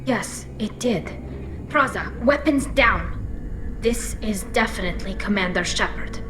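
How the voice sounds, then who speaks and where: An actress playing a loyal, firm alien squad leader in a video game, speaking earnestly and assertively. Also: female voice, medium pitch, lively intonation, measured pace, over music, natural voice.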